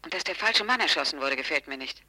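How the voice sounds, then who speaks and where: Bright, sharp, concerned woman. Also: sits low, expressive, quick pace, heavily acted. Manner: caring but dryly teasing. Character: concerned, teasing woman, the detective's sharp-witted confidante. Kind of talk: German radio drama dialogue.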